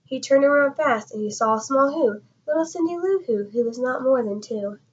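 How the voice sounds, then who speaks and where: Higher-voiced woman reading a storybook aloud. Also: expressive, normal speed, highs cut off. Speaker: storybook reader. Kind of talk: expressive children's read-aloud.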